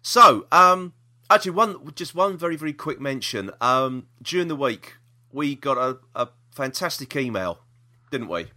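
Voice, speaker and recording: A man's voice: medium pitch, expressive, normal speed, sharp tone. A British man hosting a podcast in casual chat, hesitant and rambling, often repeating himself. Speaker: podcast host.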